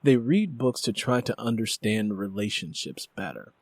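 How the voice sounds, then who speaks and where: A man's voice: on the low side, animated, normal speed, husky, no room sound. A man hosting a conversational interview, talking discursively as he builds up to his questions. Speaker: interview host.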